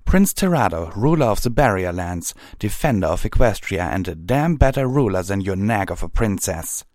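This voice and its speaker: Storybook warrior mare, played by a male narrator: proud, scornful, hot-tempered, pitched up, close dry sound. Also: animated, normal speed, darkish tone, dry recording. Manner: hissing, muttering, grousing. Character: fierce scornful proud female warrior pony.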